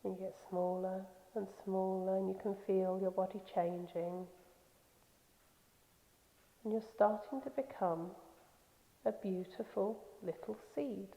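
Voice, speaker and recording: A woman's voice: mid-range voice, steady tone, vocal fry. Echoey woman leading a guided meditation. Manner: soothing, slow, gentle delivery with pauses. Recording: echoey long reverb, slightly dull sound.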